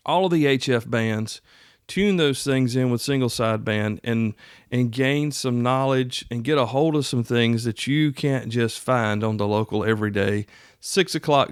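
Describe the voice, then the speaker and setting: A man's voice: mid-range voice, smooth, no room sound, clean studio sound. An American man hosting a podcast solo, talking casually in a folksy, conversational way straight to his listeners. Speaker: podcast host.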